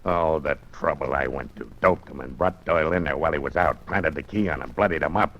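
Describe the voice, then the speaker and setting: An actor playing a menacing male gangster with a croaking low voice, in 1940s radio acting. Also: a little fast. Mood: gloating. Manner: bullying, sneering orders. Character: gravel-voiced male gangster called Froggy, menacing and sneering. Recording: muffled old radio broadcast with music.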